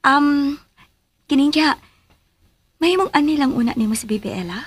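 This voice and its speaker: An actress with a Filipino accent playing a loving but uneasy young wife in a radio drama, musing hesitantly. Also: female voice, high voice, animated, normal speed. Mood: evasive. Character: loving young wife, uneasy and secretly doubting her husband. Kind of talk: Philippine radio drama acting.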